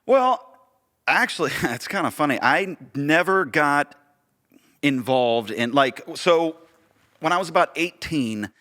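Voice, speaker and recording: A man's voice: on the high side, lively intonation, slow, bright, forceful tone. A man co-hosting a podcast, chatting casually in a modest, self-deprecating way about his work as a director. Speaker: audio drama director, podcast co-host.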